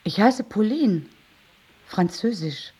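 A woman's voice: medium pitch, lively intonation, takes it slowly, dark tone, husky, heavily acted. An actress in a radio drama playing an eccentric, chatty older lady neighbour with a rambling, dreamy manner. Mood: dreamy, confiding. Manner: rambling, quirky.

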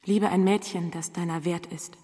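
A young runaway full of love and remorse in a radio drama. Her mellow voice is soft and solemn, trailing a long reverb. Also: fairly low pitch, steady tone, moderate pace. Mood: sorrowful, loving. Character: remorseful young runaway woman, loving, sorrowful. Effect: reverb as a letter voice. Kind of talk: German radio play acting.

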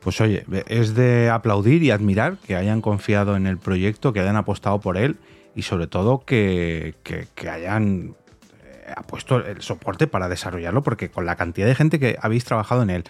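Lowish-voiced Spanish man hosting an interview. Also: moderate pace, a little mellow, soft background music. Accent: Spain. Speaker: podcast host, interviewer. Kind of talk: conversational interview.

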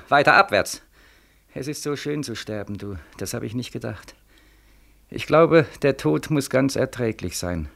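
An actor in a 1940s radio play as a bitter, desperate young soldier, mocking and questioning insistently. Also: male voice, mid-range voice, creaky, fairly bright tone, full character voice. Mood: dreamy, defiant.

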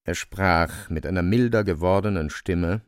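A man narrating a literary radio play in a measured, deliberate storytelling manner. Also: sits low, clean tone, dry recording.

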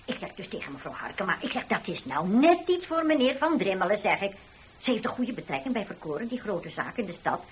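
An actress playing a chatty, persuasive, well-meaning busybody neighbour in a radio drama, with a gossipy way of talking. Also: female voice, medium pitch, big pitch swings, brisk, slight background noise, close to an everyday voice. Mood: gossipy, pleading. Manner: gossipy, repeats who said what.

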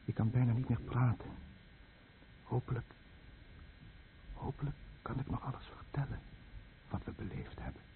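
A man's voice: mid-range voice, normal speed, long pauses, natural voice. An actor playing a dying, guilt-ridden fisherman who tells of his lost village in a brooding, bitter radio drama monologue. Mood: weary despair. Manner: brooding, bitter, confessional monologue addressed to the listener. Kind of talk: Dutch-language radio drama narration. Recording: muffled narrow-band old radio recording, faint noise.

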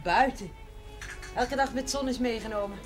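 An actress playing a practical, forthright, kindly woman in a naturalistic seventies television drama, brisk and gently teasing. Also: on the high side, lightly acted. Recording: 1970s television soundtrack with music.

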